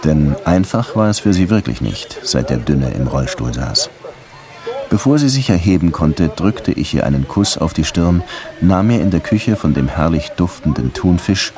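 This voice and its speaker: An actor playing a world-weary, hard-drinking Havana police detective who narrates and talks laconically in a German radio drama. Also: male voice, low-pitched.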